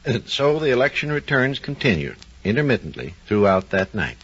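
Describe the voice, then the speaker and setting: A man giving scripted educational narration on 1940s radio in a formal, measured storytelling style. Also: mid-range voice, big pitch swings, fairly bright tone, breathy edge. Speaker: research engineer, guest narrator. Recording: muffled vintage 1940s radio recording.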